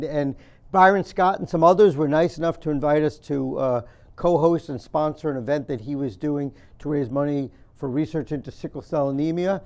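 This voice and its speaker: A New man hosts a basketball podcast with an easy, enthusiastic warmth toward his guest. He speaks, and his voice runs somewhat high for a man. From New York. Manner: enthusiastic, chummy, quick to laugh. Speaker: podcast host. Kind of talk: casual interview and podcast intro.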